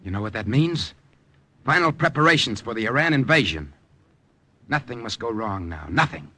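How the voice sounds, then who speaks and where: An actor playing a keen American intelligence agent, clipped and earnest, on a noisy old radio drama recording. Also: male voice, medium pitch, lively intonation, moderate pace, close to an everyday voice. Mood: intent. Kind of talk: 1940s-50s radio drama acting.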